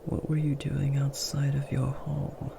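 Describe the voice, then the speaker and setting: A man voicing a big, gentle, protective werewolf in a comforting ASMR roleplay, speaking in a hushed, soothing, breathy murmur. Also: medium pitch, completely flat delivery, unhurried, background noise. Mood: tender, soothing.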